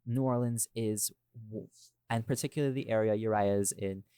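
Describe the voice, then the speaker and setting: Low-voiced man, emphatic podcast host. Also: fairly even intonation, a little fast, mellow, clean tone, dry recording, clean studio sound. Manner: emphatic, addresses listeners directly. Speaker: podcast host and audio drama creator. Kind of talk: casual podcast talk, explaining creative choices.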